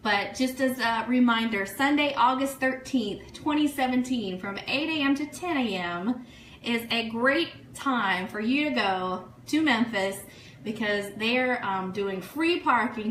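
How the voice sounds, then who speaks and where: A woman from the United States hosting a casual, chatty livestream radio show in a gushing, excitable way, full of thank-yous and shout-outs. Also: a little high, unhurried. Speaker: online radio show host. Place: room, live social media stream. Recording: echoey room, faint noise.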